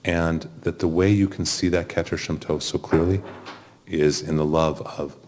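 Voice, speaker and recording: Real deep voiced guy officiating a funeral, gentle sermon style, bit of noise. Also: fairly even intonation, moderate pace. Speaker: officiant leading a funeral service. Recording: noisy room recording with clicks.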